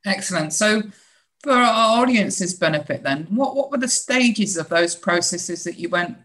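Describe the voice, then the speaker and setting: A woman's voice: mid-range voice, lively intonation, unhurried, a bit bright. A woman hosting an interview podcast, asking upbeat, probing questions with frequent restarts. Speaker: podcast host.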